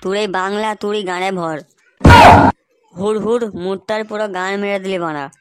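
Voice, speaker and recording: A woman's voice: medium pitch, steady tone, a little fast, very noisy, natural voice. A woman voicing a bossy, grumbling young man who swears and scolds his friends in a cartoon. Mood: furious. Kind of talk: Bengali cartoon dubbing.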